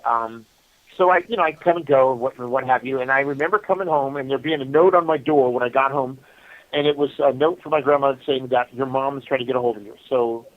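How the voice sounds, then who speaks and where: Middle-aged American man, prison-interview guest, phone-line sound, halting casual storyteller, mid pitch. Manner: halting, conversational, repeats "you know". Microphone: telephone. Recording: muffled phone call from prison.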